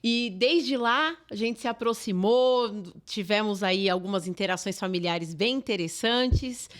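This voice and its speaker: A woman's voice: mid-range voice, unhurried. A woman hosting a podcast interview, introducing her guest and giving frequent affirming backchannels. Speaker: podcast host, therapist.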